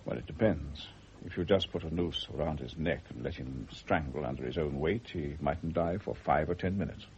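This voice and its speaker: An actor playing a calm, probing doctor in a British radio drama, formal and deliberate in manner. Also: male voice, rumbling low. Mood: grave, measured. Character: calm, probing male doctor with measured authority. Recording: dull, noisy old radio drama recording.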